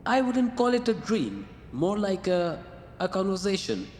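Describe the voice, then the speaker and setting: A wry, patient male muse, acted by a man in a radio drama, coaxing and gently teasing. His voice sits high and swoops about lively. It rings with a long reverb over faint noise. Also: measured pace, heavily acted. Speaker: the writer's muse.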